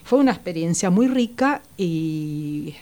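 An older woman speaks in a fairly low voice as an interview guest. She thinks aloud and pauses often. Her words are measured and full of small hesitations. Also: animated, mellow. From Italy. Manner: reflective, hesitant with frequent fillers. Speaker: psychologist guest. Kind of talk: interview, personal recollection.

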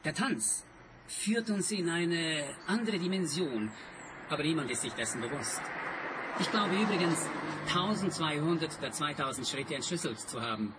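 A man's voice: a little high, expressive, normal speed, background noise, lightly acted. A man playing an earnest, obsessive eccentric in a radio play, rapt and solemnly emphatic. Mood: solemn, wistful. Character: earnest, obsessive eccentric male seeker of mystical secrets.

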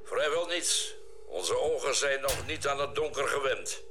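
An actor playing a sober, sceptical scientist on a spaceship crew in a radio drama, thoughtful and explanatory in manner. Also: male voice, mid-range voice, animated, a little slow, sharp tone, soft background music. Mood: tense. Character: sober, sceptical male scientist aboard a spaceship, reasoning carefully. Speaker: crew member.

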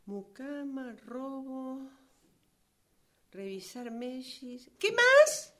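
A woman acting a flustered, defensive novelist in a radio play, with an Argentine Rioplatense accent. Her highish voice moves slowly and hesitantly, stammering in protest, with big swings of pitch. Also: big pitch swings.

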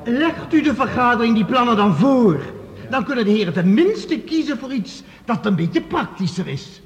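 An actor playing a cautious, sceptical professor in a radio drama, speaking formally in deliberate, sardonic rhetoric. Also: male voice, extremely high pitch, sing-song, exaggerated voice. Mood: sardonic, measured. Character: sceptical, cautious male professor, stern opponent of bold plans. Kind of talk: Dutch radio drama acting, formal speech. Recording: reverberant hall, dull band-limited sound.

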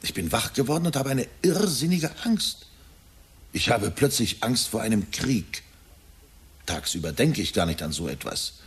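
A man's voice: medium pitch, sing-song, moderate pace, slightly rough. An actor playing an anxious, evasive middle-aged husband and father in a German radio drama, hesitant and confessional. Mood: anxious, confiding.

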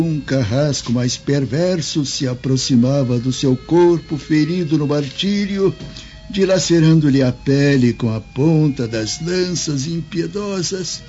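Pious elderly man, solemn, emotional, mellow voice, radio drama, dull recording. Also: fairly high pitch. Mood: moved, sorrowful. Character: pious old Samaritan man, gentle, sorrowful, faithful. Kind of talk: radio drama acting, solemn preaching and prayer.

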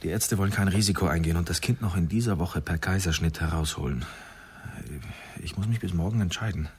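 A man's voice: low-pitched, fairly quick, darkish tone, husky, heavily acted. An actor playing a troubled, skeptical expectant father in a German radio drama, speaking in a weighty, hesitant manner.

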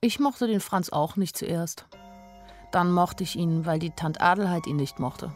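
A woman's voice: fairly low pitch, unhurried, faint music behind, natural voice. An actress playing a sensitive young woman who tells her own story in an intimate, literary radio drama narration. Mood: reflective. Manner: literary, intimate first-person telling.

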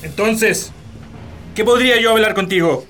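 A man's voice: high-pitched, a little slow, pushed and bright, soft background music. An actor with a Chilean accent playing a stern, scornful hacienda patron and father in a radio drama, haughty and threatening. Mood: indignant. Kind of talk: Spanish-language radio drama acting.

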